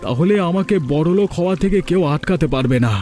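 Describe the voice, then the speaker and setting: A man's voice: sits high, animated, fast talker, mellow, soft background music. A man voicing a greedy, scheming rich villager in a cartoon story, with an insistent, blustering manner. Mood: excited scheming. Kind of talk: Bengali cartoon story voice acting.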